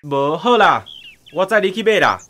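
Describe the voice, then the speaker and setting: A man playing a smooth-talking, jovial travelling photographer in a radio drama, with a Taiwanese accent. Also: high-pitched, sing-song, normal speed, fairly bright tone. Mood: easygoing.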